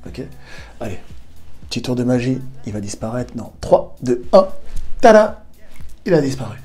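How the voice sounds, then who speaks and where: A man's voice: medium pitch, sing-song, moderate pace, mellow, faint music behind. A man hosting a self-help video, coaching the viewer in a casual, direct way with playful asides. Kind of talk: direct-to-camera coaching talk. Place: home in southern Spain.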